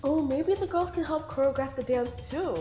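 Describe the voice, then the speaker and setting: A woman's voice: sits high, moderate pace, mellow, soft background music, natural voice. A woman acting a chatty, dramatic dance mom in a voiced roleplay series. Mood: thoughtful.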